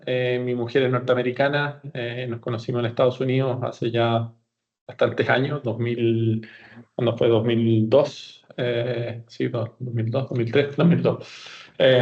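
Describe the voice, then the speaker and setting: A man's voice: mid-range voice, a little mellow, highs cut off. A man in his forties from Chile with a Chilean Spanish accent, answering interview questions conversationally and explaining with hesitations and self-corrections. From Santiago, Chile. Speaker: podcast guest, company executive.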